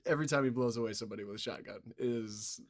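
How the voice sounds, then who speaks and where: A man's voice: mid-range voice, rapid-fire. A man co-hosting a podcast in casual chat, with a jokey, giggly manner. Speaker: podcast co-host.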